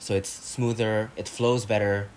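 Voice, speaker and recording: Low-voiced young man, blunt and emphatic. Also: little pitch movement, a little slow, darkish tone, slight background noise. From Indonesia. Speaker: podcast guest. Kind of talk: casual podcast chat.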